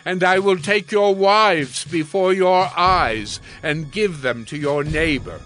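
A man playing a stern, solemn prophet who declaims God's judgment deliberately in an audio Bible drama. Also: a little high, fairly bright tone. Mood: stern, grave.

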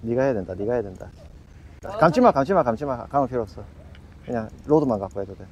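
A man fishing from a seaside shore, coaching a partner with quick, repeated instructions. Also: mid-range voice, lively intonation, a little mellow, lo-fi sound. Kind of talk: excited outdoor fishing chat.

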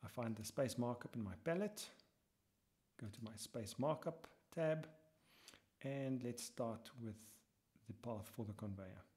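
A man talking through a software tutorial step by step as he works, muttering some lines to himself, with keyboard typing behind him. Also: mid-range voice, lively intonation, unhurried. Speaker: lecturer.

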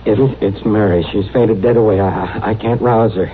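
An actor playing a worried, plain-spoken frontier husband, halting and urgent, in 1950s radio western style. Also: male voice, on the low side, fairly even intonation, natural voice. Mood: anxious. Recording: tinny old radio broadcast, faint noise.

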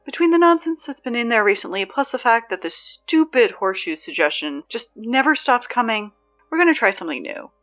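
A woman playing a sarcastic, exasperated high school announcer in a scripted audio drama, dry and emphatic in delivery. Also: very animated, brisk, fairly bright tone, dull audio, soft background music. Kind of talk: scripted fiction podcast, PA announcements.

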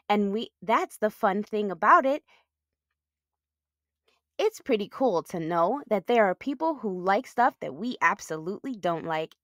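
A woman's voice: medium pitch, a bit bright, dry recording, clean studio sound. A woman hosting a children's read-aloud, talking to young listeners in simple, explanatory language.

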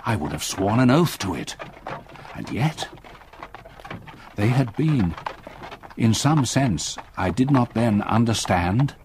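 An actor playing a haunted older gentleman, narrating his memories gravely and deliberately in a radio drama. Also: lowish voice, sing-song, noisy background, heavily acted. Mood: haunted, insistent. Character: haunted older English solicitor recalling terror.

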